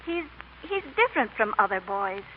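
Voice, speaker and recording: An actress plays a devoted, fiercely protective mother in a vintage radio drama. She speaks earnestly and emphatically, with lively swings in pitch. The sound is thin, like an old broadcast. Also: normal speed, muffled recording, a little hiss or hum, natural voice. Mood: tender, reassuring.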